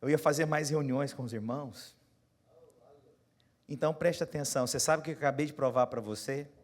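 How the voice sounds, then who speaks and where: A Brazilian pastor in his forties preaching a sermon, moving from quiet, close asides to loud, rising exhortation and putting questions straight to his congregation. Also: mid-range voice, brisk. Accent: Brazilian Portuguese. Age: 40s. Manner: talks straight to the congregation, asking them questions.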